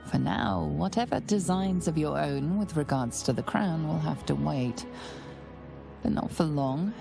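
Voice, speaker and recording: An actress voicing a solemn, scheming narrator of a dark fantasy game, deliberate and knowing, addressing the player directly. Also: female voice, low voice, lively intonation, vocal fry, over music, full character voice. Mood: ominous, enticing. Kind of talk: dramatic second-person game narration.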